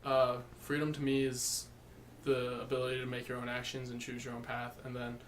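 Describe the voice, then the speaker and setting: A man in his late teens speaks at medium pitch with almost no movement in his tone. He answers casually, some way from the microphone. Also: monotone, brisk. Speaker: interviewee. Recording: distant mic, faint room noise.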